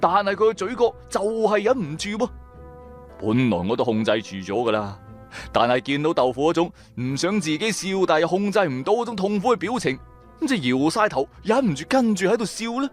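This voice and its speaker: Lively, wry Cantonese adventurer-narrator. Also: male voice, high voice, brisk, soft background music. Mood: amused, exasperated. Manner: colloquial, animated, wry. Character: streetwise, wry businessman turned tomb raider. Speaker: narrator and main character of an audio drama. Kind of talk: first-person dramatic storytelling, colloquial radio drama.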